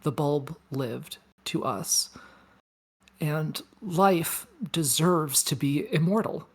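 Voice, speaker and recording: A woman playing a tender, solemn mourner in a table read, with a breathy, husky voice and deliberate delivery. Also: deep voice, heavily acted. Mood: solemn, mournful. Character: solemn, tender mourner giving a grand eulogy.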